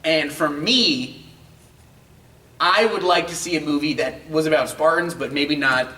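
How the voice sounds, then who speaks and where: A man's voice: a little high, a little slow, bright, forceful tone. A man co-hosting a casual video game chat, talking emphatically and swearing freely, repeating phrases to drive points home. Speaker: co-host of a video game show. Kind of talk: casual group chat pitching ideas. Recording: roomy echo, distant mic.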